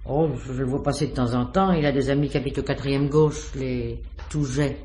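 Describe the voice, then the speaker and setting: A woman's voice: rumbling low, fairly even intonation, brisk, dark tone. A woman playing a flustered, garrulous building concierge in a radio crime drama, full of exclamations and hesitations.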